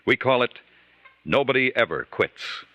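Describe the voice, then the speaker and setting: A man's voice: mid-range voice, expressive, a little slow, sharp tone, no echo. A man reading scripted credits and previews as a 1950s radio announcer. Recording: vintage network radio recording.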